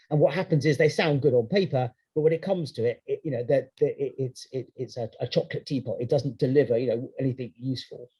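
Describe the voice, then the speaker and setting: A man's voice: medium pitch, a little mellow, husky. A man speaking at a webinar, answering a question in a conversational way with many restarts. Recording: dull lo-fi video-call audio.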